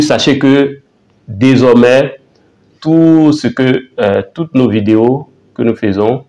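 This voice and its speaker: Casting: male teacher, mid-pitched voice, casual lesson, repeats himself, slows down for examples. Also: dark tone. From Côte d'Ivoire. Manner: halting, repeats words, spells out phrases slowly. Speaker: language teacher.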